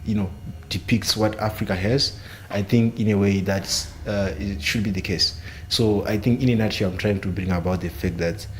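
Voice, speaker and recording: Male panelist, low register, measured, filler-heavy delivery. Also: a little hiss or hum, low-quality recording. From Zimbabwe. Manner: measured, searching for words with many fillers. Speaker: panelist, software engineering student. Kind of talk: panel discussion.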